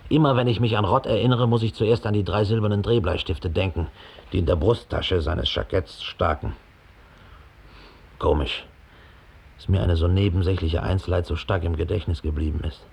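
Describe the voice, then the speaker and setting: A man's voice: sits low, creaky, darkish tone, breathy edge, exaggerated voice. An actor playing a big, slovenly, resentful middle-aged Nazi operative who gives his account with contempt and self-importance in a radio play. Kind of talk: radio drama monologue, police statement. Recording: 1960 studio radio play.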